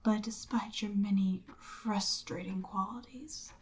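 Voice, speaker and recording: A seductive Demon Queen, acted by a woman, speaks to her captive in an ASMR roleplay. She takes her time over every phrase, sliding from breathy, medium-pitched speech into whispers. Her manner is smug and coaxing, with the firm command of a ruler. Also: fairly even intonation, measured pace, close to an everyday voice. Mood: threatening, commanding. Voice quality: breathy, often dropping into whisper.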